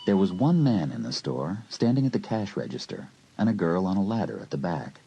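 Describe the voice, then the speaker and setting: Deep, mellow male suspense narrator. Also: big pitch swings, brisk, gravelly, dull audio, slight background noise. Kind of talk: scripted suspense audiobook narration.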